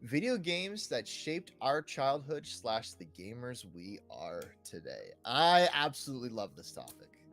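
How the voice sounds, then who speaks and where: A young man of average pitch hosts a casual gaming chat. His intonation moves around a lot and his phrasing is informal and conversational, with music clearly audible underneath. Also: sing-song, measured pace, few pauses, a bit bright. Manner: informal, conversational, repeats "like" often. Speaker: podcast host. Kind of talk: casual chat about video games.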